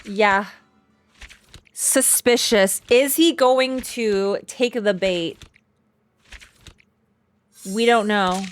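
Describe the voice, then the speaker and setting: A woman's voice: mid-range voice, extremely slow, long pauses, clear, resonant tone, soft background music. A woman streamer giving casual reaction commentary, thinking aloud and repeating herself in a chatty way. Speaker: streamer reacting to a game story.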